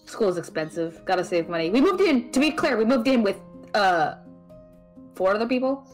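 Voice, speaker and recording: A woman playing an introverted, opinionated young magic student in an audio drama, with a halting, deadpan, teasing delivery. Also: mid-range voice, soft background music, close to an everyday voice. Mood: matter-of-fact. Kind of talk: audio drama dialogue, naturalistic.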